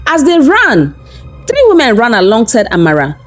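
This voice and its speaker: A woman's voice: on the high side, wildly swooping pitch, moderate pace, faint music behind. A woman narrating a scripted folktale in a dramatic, suspense-building storyteller's delivery.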